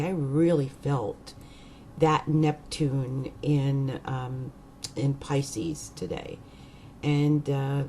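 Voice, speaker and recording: A middle-aged woman astrologer with a croaky voice, rambling hesitantly in a casual vlog with many fillers. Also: deep voice, dark tone, a little hiss or hum.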